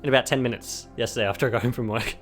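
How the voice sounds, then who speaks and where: A young man co-hosting a casual gaming podcast, giggling and rambling with lots of fillers while his voice is going hoarse. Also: medium pitch, steady tone, racing, fairly bright tone, faint music behind. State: losing his voice. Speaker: podcast co-host. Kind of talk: casual chat.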